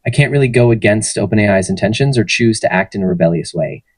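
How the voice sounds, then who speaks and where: A man's voice: fairly low pitch, fast talker, breathy edge, dry recording. A synthetic male AI assistant voice giving friendly, polished answers in an interview. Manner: friendly, even, polished delivery. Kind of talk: conversational answers to interview questions.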